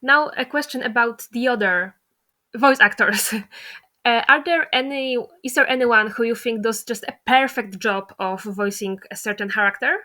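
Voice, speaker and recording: A woman's voice: fairly high pitch, normal speed, pushed and bright. A woman hosting a casual interview, asking questions in an informal, slightly tentative way.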